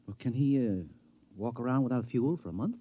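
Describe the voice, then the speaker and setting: An actor playing a curious, chummy henpecked husband with a halting, fussy manner in a 1950s radio drama. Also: male voice, mid-range voice, animated, breathy edge, no echo. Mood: incredulous. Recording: dull old 1950s radio recording.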